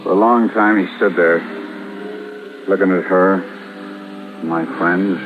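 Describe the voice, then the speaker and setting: A man's voice: low-pitched, steady tone, slow pace. An American man playing a troubled husband in a 1950s radio suspense drama, terse, plain-spoken and brooding. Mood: somber, weary. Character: troubled husband, a juror, narrating his own crime tale. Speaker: radio drama actor and narrator. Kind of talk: 1950s radio suspense acting with first-person narration. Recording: dull old radio recording with loud music.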